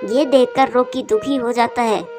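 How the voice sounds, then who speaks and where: A woman's voice: on the high side, fairly even intonation, measured pace, over music. A woman reading scripted narration for a children's moral-story cartoon.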